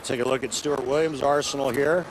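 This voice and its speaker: A man's voice: medium pitch, steady tone, a little fast. A man giving color commentary on a bowling broadcast, explaining technique with folksy comparisons. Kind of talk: sports color analysis. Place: bowling center broadcast booth. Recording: crowd and arena noise. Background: crowd noise.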